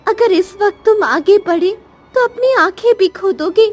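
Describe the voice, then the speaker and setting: A woman's voice: very high-pitched, normal speed, soft background music. A woman voicing Mother Nature, a stern yet motherly goddess, in a cartoon moral story with admonishing, maternal authority. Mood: stern warning. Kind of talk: dramatic Hindi cartoon story dialogue.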